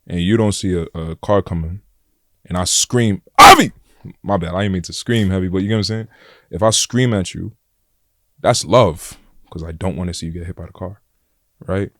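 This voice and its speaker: A young man with a New York accent and a deep voice, talking bluntly and casually as a podcast guest, full of slang and "like" and "bro". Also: dry recording, studio quality. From Flatbush, Brooklyn, New York. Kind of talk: casual conversation.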